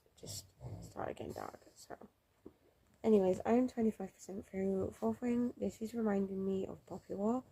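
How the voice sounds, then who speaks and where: A young woman with a cold, chatting excitably about her reading in a casual vlog at home. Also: medium pitch, slightly rough. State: has a cold, snuffly. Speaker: book vlogger. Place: home room under a window, lamp lit.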